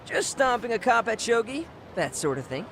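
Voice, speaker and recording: A man's voice: very high voice, very animated, normal speed, gravelly, bright, forceful tone, slight background noise, heavily acted. A male actor playing a cocky, laid-back teenage boy with an American accent in a video game dub, nonchalant and cheeky. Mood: casual.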